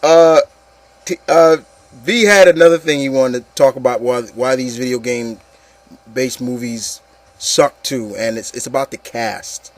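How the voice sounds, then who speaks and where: A man's voice: mid-range voice, unhurried. A man hosting an opinion show, chatting informally about movies and addressing the listeners in a casual, opinionated way.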